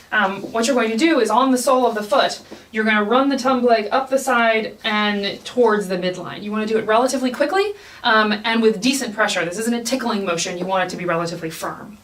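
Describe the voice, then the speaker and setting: A woman's voice: medium pitch, fairly bright tone, a little hiss or hum. Lady teaching a medical exam step by step, roomy sound, mic kinda far away. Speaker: clinical instructor. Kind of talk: instructional exam demonstration, explained step by step. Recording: roomy echo, distant mic.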